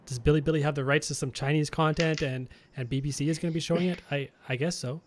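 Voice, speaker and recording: A man's voice: on the high side, steady tone, quick pace, slight background noise. A Canadian man co-hosting a marketing podcast, chatting casually and thinking aloud, with frequent restarts and chuckles. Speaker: podcast co-host.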